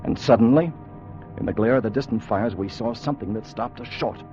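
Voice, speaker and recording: An actor playing an earnest, protective time traveller who narrates with solemn, theatrical intensity in a 1950s radio drama. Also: male voice, mid-range voice, brisk, natural voice. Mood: grave, suspenseful. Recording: muffled vintage radio recording with music.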